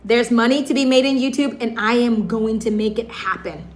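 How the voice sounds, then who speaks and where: A woman from Michigan, hosting a casual livestream and chatting with viewers in a bubbly way, her voice hoarse and raspy, laughing often. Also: on the high side, normal speed. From Michigan, USA. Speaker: livestream host.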